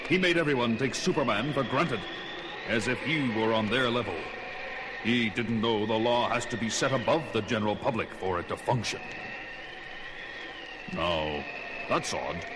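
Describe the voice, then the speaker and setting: A man's voice: medium pitch, normal speed, pauses often, noisy background, lightly acted. A man playing a proud, stern alien policeman with superpowers in a superhero cartoon, formal and melodramatic. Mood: haughty.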